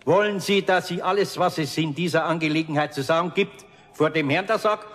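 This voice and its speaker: A man with a Bavarian accent playing a gruff, good-natured older master carpenter in a 1970s German radio play, blunt and folksy. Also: a little high, fairly quick, fairly bright tone, close to an everyday voice. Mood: firm.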